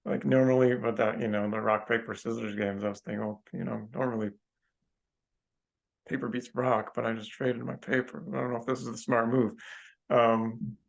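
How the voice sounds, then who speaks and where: A man's voice: medium pitch, little pitch movement, normal speed, vocal fry, low-quality recording. A man on a podcast telling a casual anecdote in a hesitant, rambling, tentative way. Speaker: podcast participant.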